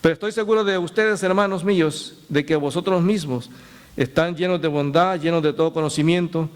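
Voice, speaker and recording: High-voiced pastor preaching. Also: male voice, fairly quick, clean tone. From El Salvador. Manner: storytelling with laughs and direct appeals to the congregation. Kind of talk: sermon.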